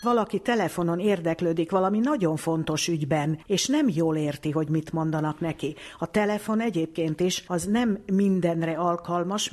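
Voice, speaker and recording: A woman's voice: lowish voice, darkish tone. A woman speaking as an expert guest in an interview, explaining didactically with everyday examples.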